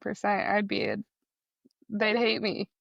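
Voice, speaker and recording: A woman co-hosting a podcast in casual chat, curious and quick with questions and reactions. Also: mid-range voice, very animated, creaky, no room sound, dull audio. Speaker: podcast co-host.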